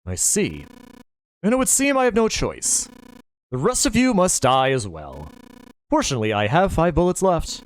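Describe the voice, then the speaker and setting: A man's voice: a little high, huge pitch swings, normal speed, voice pushed up, low-quality recording. A man voicing a cruel, genteel middle-aged male villain who talks in a mocking, overly polite way. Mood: cold. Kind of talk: audiobook character voice.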